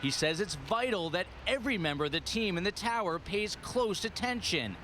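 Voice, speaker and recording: A man's voice: high-pitched, expressive, moderate pace, bright, forceful tone, slight background noise. A man with an American accent reading scripted investigative television news narration as a reporter.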